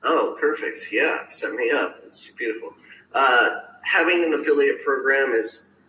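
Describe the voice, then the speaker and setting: Raspy man with a bright edge. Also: mid-range voice, a little slow. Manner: easygoing, upbeat, many "uh" fillers. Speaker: guest, affiliate marketing coach. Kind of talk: casual interview talk. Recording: roomy echo, faint background hiss.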